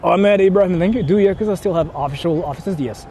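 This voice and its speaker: Japanese-accented man chatting, higher-pitched voice, street noise. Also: fast, warm tone. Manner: rambling, informal, blunt. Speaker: live streamer. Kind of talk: casual livestream chat, answering viewers. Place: outdoors on a city street. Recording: noisy outdoor street, traffic ambience. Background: urban ambience and vehicles.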